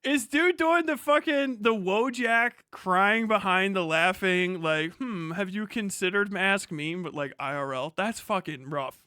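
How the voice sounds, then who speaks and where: High-pitched, bright-voiced man jeering in profane disbelief. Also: unhurried, hardly any pauses, smooth, no room sound, clean studio sound. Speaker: streamer reacting to a video. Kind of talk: casual mocking live reaction commentary.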